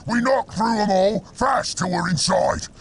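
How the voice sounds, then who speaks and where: Gravelly shouting orc warboss. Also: male voice, high voice, unhurried, a little hiss or hum. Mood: bossy, gruff. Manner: crude, blunt, bossy. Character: brutish bossy orc warboss rallying his boys. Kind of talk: video game character acting.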